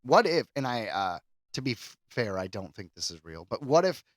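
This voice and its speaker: A man's voice: on the low side, big pitch swings, normal speed, dry recording, studio quality. A man co-hosting a podcast in casual chat, rambling and jokey as he floats speculative ideas. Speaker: podcast co-host.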